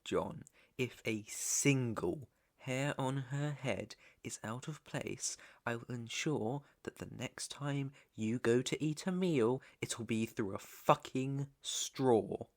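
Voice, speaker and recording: Man acting a slow-talking British mobster kidnapper. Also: mid-range voice, a little mellow. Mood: cold threat. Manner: slow, deliberate, taunting, swearing. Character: menacing, teasing young male mob boss's son, kidnapper. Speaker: roleplay audio performer. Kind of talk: intimate ASMR roleplay acting.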